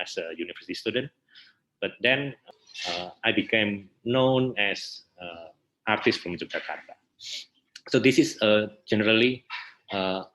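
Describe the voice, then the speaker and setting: A man's voice: deep voice, sharp tone, poor audio. A man with an Indonesian accent answering interview questions informally, in hesitant phrases with repeated words. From Indonesia, north coast of Java. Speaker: interview guest, theatre artist and festival director.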